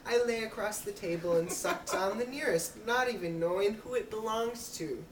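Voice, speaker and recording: A woman's voice: medium pitch, moderate pace. A woman reading a story aloud as an amateur, with a giggly manner. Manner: giggly, breaking into laughs while reading. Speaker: amateur reader. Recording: roomy, distant mic with clear noise.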